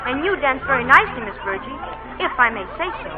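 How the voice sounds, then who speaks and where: A woman's voice: high voice, sing-song, fast talker. A female performer playing a stiffly polite little boy at a party in an old radio drama, very formal in manner. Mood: earnest. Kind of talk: 1940s radio drama acting. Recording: tinny old radio broadcast, crowd behind.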